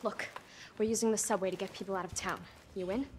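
A woman's voice: medium pitch, steady tone, fast, darkish tone, a little hiss or hum, close to an everyday voice. A woman playing a tough, defiant young survivor in a video game, blunt and resolute. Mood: brisk, concerned. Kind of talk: video game cutscene acting.

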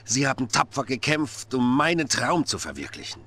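An actor playing a menacing, middle-aged Soviet dictator in a game cutscene, commanding and theatrical, shifting between hush and shouting. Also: male voice, medium pitch, very animated, normal speed, exaggerated voice. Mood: confiding, menacing. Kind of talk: dramatic game cutscene acting.

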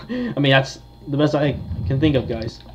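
A man's voice: medium pitch, very animated, a little slow, muffled recording, a little hiss or hum. A man giving casual commentary on a horror game, talking to his viewers and thinking aloud as he plays. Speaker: gamer, video host.

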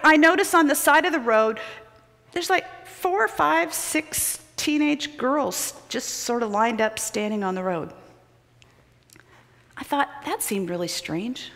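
A middle-aged woman preaching a sermon built on personal stories, shifting between loud urgency and hushed near-whispers. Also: high voice. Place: large church sanctuary with choir loft. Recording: long hall reverb of a large sanctuary.

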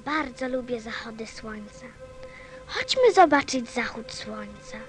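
A woman's voice: on the high side, sing-song, unhurried, soft background music. A woman playing a small, sensitive little prince in a radio play, with a childlike, earnest, questioning manner. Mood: wistful.

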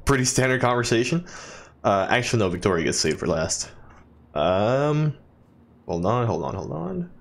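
A man's voice: mid-range voice, sing-song, moderate pace, vocal fry, pauses often. A man hosting a gaming video, casually reading game messages aloud and commenting in a playful, theatrical way.

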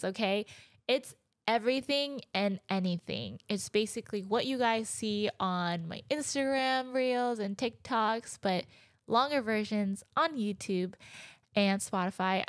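A woman's voice: mid-range voice, no echo. A young woman in her twenties hosting a casual solo podcast from her living room couch, chatty and candid, laughing now and then, speaking close into a handheld mic. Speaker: podcast host. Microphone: handheld dynamic mic, close.

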